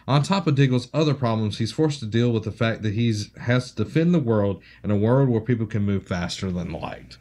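A man's voice: on the low side, a little fast, hardly any pauses. Bearded man reading geek news. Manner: jokey, reads articles in a steady news tone. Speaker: podcast co-host. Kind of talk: casual podcast chat, reading news aloud. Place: small studio with city-skyline backdrop.

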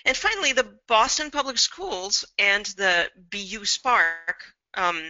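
A middle-aged woman, an academic librarian, gives a semi-scripted slide presentation in a measured, halting way, pausing to think, recorded indoors on a computer mic. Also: mid-range voice, sing-song, pushed and bright, dry recording, lo-fi sound.

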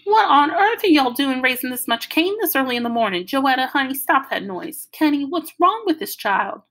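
A woman's voice: sits high, lively intonation, moderate pace, fairly bright tone, pitched above the performer's own voice. A woman voicing a fussy old grandmother who exclaims and scolds. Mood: exasperated.

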